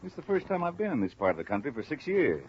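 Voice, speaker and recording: An actor playing a talkative, puzzled male insurance man in a 1950s radio drama, chatty and deliberate. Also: a little high, very animated. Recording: muffled, noisy old radio recording.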